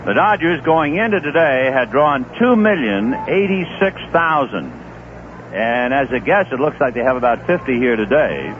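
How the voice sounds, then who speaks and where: A man doing radio play-by-play of a baseball game, easygoing and folksy, with chatty asides between pitches. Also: sing-song, moderate pace. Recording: tinny old AM radio broadcast with faint hiss.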